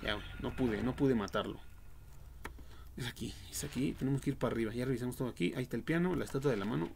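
A young man with a Mexican accent commentates a horror game live in slangy, profane bursts. His voice has a gravelly fry in it. The home livestream recording is noisy, with game ambience behind him. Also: medium pitch, fast talker, a little mellow. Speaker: game streamer.

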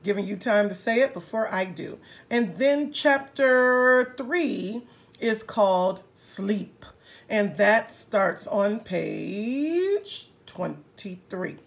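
Slow, expressive woman reading aloud. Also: medium pitch, vocal fry. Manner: expressive, pauses to address young listeners. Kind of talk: read-aloud of a picture book with commentary.

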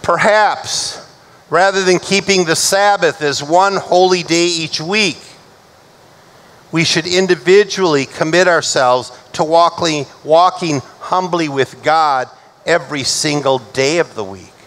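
A middle-aged male pastor delivering a measured, emphatic sermon from a church pulpit. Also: on the high side, a bit bright. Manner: measured, emphatic preaching, slowing for recited scripture.

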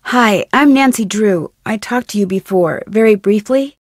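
A woman's voice: medium pitch, moderate pace, no echo, studio quality, close to an everyday voice. An actress playing a polite, earnest young female detective in a video game, speaking in an upbeat way. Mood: polite, tentative. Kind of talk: video game character acting.

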